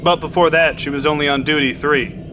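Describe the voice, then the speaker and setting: A man's voice: a little high, fairly even intonation, fairly quick, noisy background, close to an everyday voice. An actor playing a loyal, exhausted submarine sailor who speaks respectfully to his captain. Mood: dutiful. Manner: respectful, dutiful, frequent "sir". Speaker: sailor, coxswain. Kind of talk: audio drama acting.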